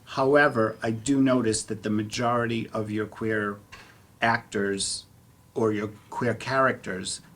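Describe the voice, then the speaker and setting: A man speaking as a panelist and actor in a discussion, phrasing his points in a measured, deliberate way. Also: on the low side, steady tone, fairly bright tone.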